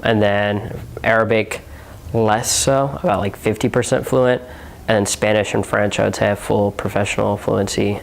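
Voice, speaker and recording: A young man from Houston, Texas, speaking in a low, fairly flat voice. He answers interview questions casually, with a steady stream of "um" and "like" fillers. He sounds like a relaxed university student thinking out loud. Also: creaky. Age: young adult. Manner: relaxed, heavy on filler words like "um" and "like".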